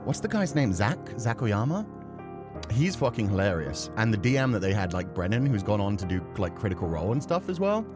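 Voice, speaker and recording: A man livestreaming a horror game, chatting casually and excitably and swearing freely. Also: on the low side, a little fast, over music. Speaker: game streamer.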